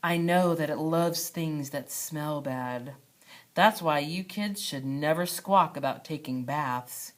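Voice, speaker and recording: A woman's voice: low voice, slow pace. A woman voicing a playful, teasing, adventurous dad with an eager, theatrical manner in a children's storybook. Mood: spooky. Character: playful, teasing adventurous dad spinning spooky monster tales. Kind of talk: children's storybook read-aloud.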